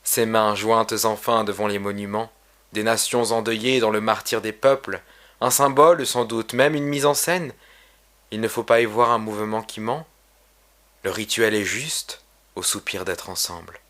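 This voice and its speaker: Low-voiced man reciting poetry solemnly. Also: fairly even intonation, fairly bright tone. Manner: solemn, measured, literary delivery. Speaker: poet reading own poem.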